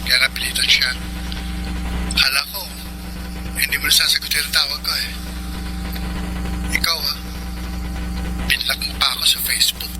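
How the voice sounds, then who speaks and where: An actor playing an apologetic, persistent young former love interest in a radio romance drama, with a Filipino accent. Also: male voice, a little high, fairly even intonation, normal speed, pauses often, close to an everyday voice. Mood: teasing, casual. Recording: noisy line with engine-like hum.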